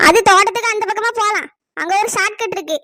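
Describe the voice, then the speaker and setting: Woman voicing a squeaky, indignant village gossip. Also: quick pace, bright, forceful tone, no echo, clean studio sound. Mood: evasive. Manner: grumbling asides, indignant retorts. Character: gossipy village woman, evasive, defiant, easily irritated. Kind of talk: cartoon village comedy dialogue.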